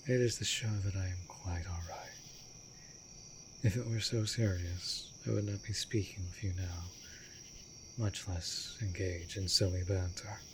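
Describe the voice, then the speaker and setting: Night crickets hum behind a deep-voiced vampire master played by a man. He is a brooding, tender immortal in a romantic fantasy roleplay, and he speaks in formal, old-fashioned phrases at a very unhurried pace, often sinking toward a whisper. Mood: gentle teasing. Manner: formal archaic wording, slow and deliberate, often dropping to breathy whisper. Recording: steady background noise of night crickets. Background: night insects and crickets.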